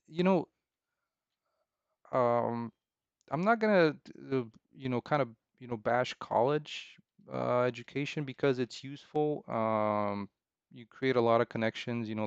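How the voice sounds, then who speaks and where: A man chatting casually and unscripted as he answers questions, rambling haltingly and circling back over his phrases. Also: medium pitch, clear, resonant tone, no room sound, highs cut off. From Romania. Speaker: game developer streaming.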